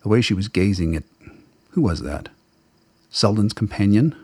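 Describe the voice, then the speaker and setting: A man's voice: on the low side, expressive, moderate pace, darkish tone, breathy edge, no room sound. A man giving dramatic scripted narration of fiction in a brooding, literary storytelling manner. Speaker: narrator of a radio play.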